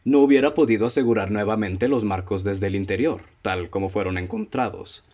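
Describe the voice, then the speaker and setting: A Mexican man acting in a student radio drama as a self-assured, methodical amateur detective who speaks in a formal, deliberate, theatrical way. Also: on the low side, a little mellow, lightly acted. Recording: dull, muffled lo-fi audio.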